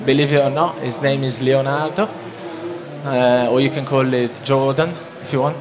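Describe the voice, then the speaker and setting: A man's voice: medium pitch, steady tone, moderate pace, crowd noise. Man in his thirties, Italian accent, conference seminar talk, lectern mic, audience murmur around him. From Italy. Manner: conversational, loose, with many restarts and fillers. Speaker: conference presenter. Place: large conference hall, at a lectern. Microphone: lectern microphone. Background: listening audience.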